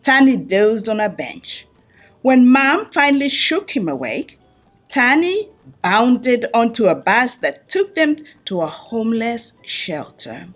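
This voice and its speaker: A woman reads a children's picture book aloud in a fairly high, slightly husky voice. Her delivery is expressive and swoops up and down, with deliberate, dramatic pauses for young listeners. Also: soft background music.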